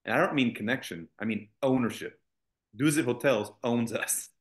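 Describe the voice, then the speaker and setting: A man's voice: medium pitch, moderate pace. A man, a college executive as interview guest, speaking fluently with confident, joking ease. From United States. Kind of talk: interview conversation.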